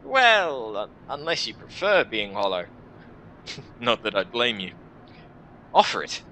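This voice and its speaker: A man voicing a teasing female companion in a fantasy game, chiding in a halting way. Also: medium pitch, sing-song, sharp tone, a little hiss or hum, heavily acted.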